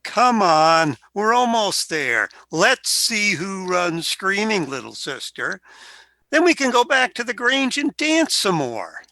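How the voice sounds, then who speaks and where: A man's voice: sits high, big pitch swings, slow pace, vocal fry, pushed and bright, dry recording, heavily acted. A man playing a flippant but caring, tipsy older brother from 1920 in a radio play. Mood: nervous bravado.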